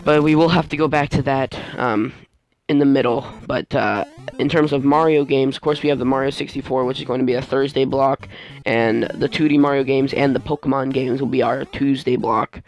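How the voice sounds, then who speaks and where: Male gaming host, gravelly fry, mid-pitched, rambling casual voice-over, game music behind. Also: steady tone, low-quality recording. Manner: rambling, halting, often losing his train of thought. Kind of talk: casual let's play voice-over commentary.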